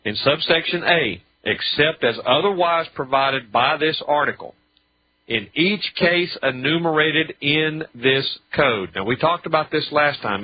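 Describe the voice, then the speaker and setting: A man's voice: medium pitch, a little hiss or hum. American man lecturing on muffled talk radio. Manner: emphatic, methodical, spells out points step by step. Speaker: radio show commentator on traffic law. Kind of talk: explanatory legal commentary on a talk show. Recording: dull lo-fi talk radio audio.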